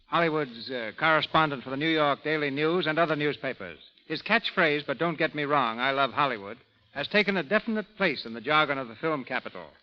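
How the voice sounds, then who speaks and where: A man's voice: on the high side, expressive, a little fast. A man hosting in the formal announcing style of 1930s radio. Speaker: radio show announcer and host. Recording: noisy, reverberant lo-fi 1930s radio recording.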